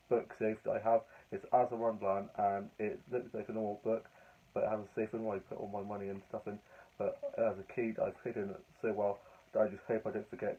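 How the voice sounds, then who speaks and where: A young man with a hoarse, croaky voice talks on and on in a cheerful, rambling way. His voice sits low and flat, with little rise and fall. The sound is thin and tinny, like a phone recording. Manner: chatty, rambling, upbeat. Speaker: vlogger. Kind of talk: casual vlog chat.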